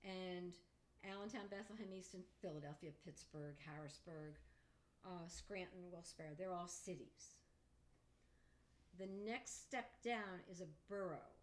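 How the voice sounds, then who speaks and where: A woman's voice: fairly low pitch, measured pace. Talkative woman with a Pennsylvania accent. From New York. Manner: talkative, precise about facts, corrects others. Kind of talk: casual interview conversation.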